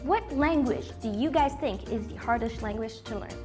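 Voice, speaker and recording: A woman whose first language is Arabic, hosting a talk show with enthusiastic, animated energy. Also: fairly high pitch, normal speed, faint music behind. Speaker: host.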